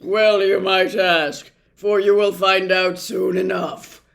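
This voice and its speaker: A narrator voicing a blustering male storybook doctor, booming and emphatic, with a declamatory delivery. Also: level tone, takes it slowly, gravelly, fairly bright tone. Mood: ominous. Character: blustering, roaring male doctor who adores noise.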